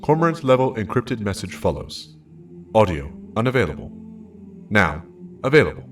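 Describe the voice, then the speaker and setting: A man's voice: fairly low pitch, very animated, moderate pace. A man voicing an impassive message machine that announces transcripts and analysis in terse, official fragments. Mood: impassive, procedural. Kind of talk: scripted sci-fi system announcements. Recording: distant voice over music.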